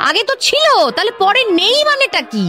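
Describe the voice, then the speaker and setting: A shrill, scolding woman officer. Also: very animated, fairly quick, sharp tone, faint music behind, exaggerated voice. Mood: scolding, irate. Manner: scolding, interrogating, repeats questions sharply. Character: haughty, hectoring female government officer, quick to accuse. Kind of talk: Bengali comic radio drama acting.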